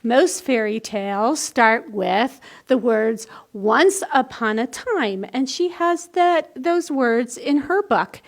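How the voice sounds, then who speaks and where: Woman children's storytime reader: high pitch, slow, expressive, pauses to explain simply. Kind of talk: reading a picture book to young children.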